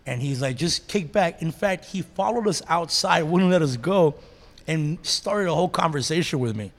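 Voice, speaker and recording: The guy at the late-night diner booth spinning salty, slangy stories in a highish voice, the words echoing as if in a hall. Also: normal speed. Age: 30s. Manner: profane, slangy, animated storyteller. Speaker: podcast co-host. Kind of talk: casual chat, storytelling. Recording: long hall-like reverb.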